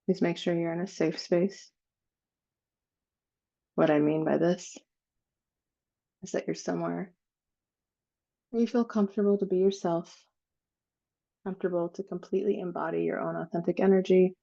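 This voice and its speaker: A woman leading a guided meditation in a slow, soothing manner, with long pauses between phrases. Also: on the low side, fairly even intonation, gravelly, warm tone, muffled recording.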